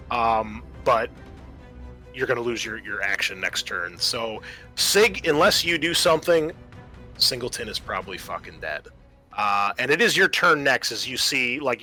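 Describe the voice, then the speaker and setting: A man's voice: mid-range voice, lively intonation, moderate pace, pushed and bright. A man acting as game master of a tabletop horror game, talking casually with swearing and narrating dramatically. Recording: music behind the voice.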